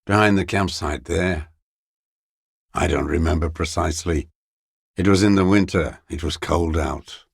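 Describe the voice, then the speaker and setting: A man playing a bitter, hateful old communist deserter in a video game, brooding and contemptuous, with a gravelly, raspy voice and halting delivery. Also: low voice, no echo, studio quality, exaggerated voice. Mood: evasive, halting. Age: older. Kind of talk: video game character monologue.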